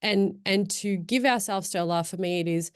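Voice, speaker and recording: A woman's voice: mid-range voice, moderate pace, clean tone, no echo. Young woman guest, reflective and chatty, dry close recording. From Afghan, born in Pakistan, raised in Australia. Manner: thoughtful, rambling, many fillers and restarts. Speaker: podcast guest. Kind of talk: casual reflective chat.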